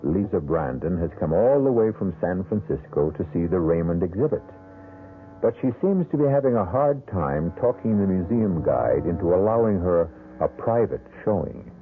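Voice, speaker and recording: A male host giving scripted, measured and solemn narration for a 1970s radio mystery show, in a tinny radio broadcast. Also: deep voice, animated, slight background noise.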